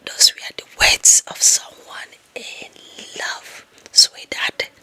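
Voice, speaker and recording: A woman with an African accent playing a lovestruck, bossy, deluded young office employee in a whispered ASMR roleplay, speaking slowly and dramatically. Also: sharp tone. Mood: dreamy, smitten. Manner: slow, dramatic, gossipy whispering.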